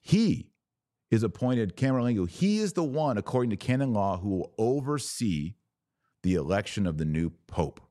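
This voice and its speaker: A middle-aged man hosting a religious commentary podcast, speaking in deliberate, stressed phrases with pauses. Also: lowish voice, big pitch swings, dark tone, no room sound, studio quality. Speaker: podcast host. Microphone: close studio microphone.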